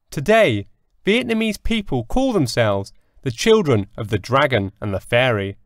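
A man narrating a fairy tale for an audiobook in a measured storybook delivery with deliberate pauses. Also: on the high side, no room sound, clean studio sound.

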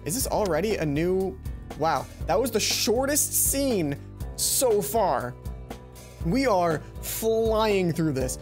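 A man's voice: sits high, very animated, slow pace, a little mellow. A man hosting a let's play, chatting casually from a home room into a headset. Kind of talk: casual game commentary. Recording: game music behind.

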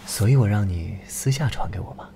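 A man playing a keen young investigator in an audio drama, brisk and conversational. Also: lowish voice, gravelly, warm tone, a little hiss or hum. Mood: focused, brisk. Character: keen young male investigator on a murder case. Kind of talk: Chinese audio drama acting.

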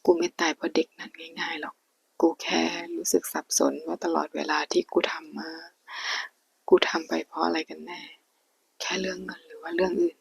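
A woman voicing a sullen young man who answers curtly in a soft, husky whisper. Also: on the high side, little pitch movement, fairly quick, full character voice, rough recording. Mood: brooding. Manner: soft whispered delivery, curt replies. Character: sullen young man drinking, curt and brooding.

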